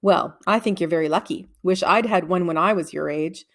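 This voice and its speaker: A woman's voice: on the low side, fairly quick. A woman voicing a cheerful, nosy man in a storybook, chatty and full of questions. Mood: bright, curious.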